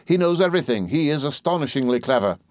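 A man's voice: medium pitch, fairly quick, darkish tone, no room sound, studio quality, natural voice. A man voicing an earnest, well-spoken young schoolboy, polite and effusively admiring, in a storybook reading. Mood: eager admiration.

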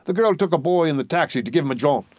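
A man voicing an elderly working-class dustman in a play reading, expressive, rhetorical and wheedling. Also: a little high, racing, no room sound, clean studio sound, voice pushed up, natural voice. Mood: explaining. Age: older.

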